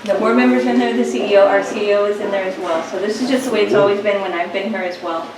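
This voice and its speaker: A woman's voice: mid-range voice, steady tone, rapid-fire, very noisy. A woman speaking as a nursing director, explaining procedure to a visitor in a placating, official way. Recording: very echoey, distant, loud chatter behind.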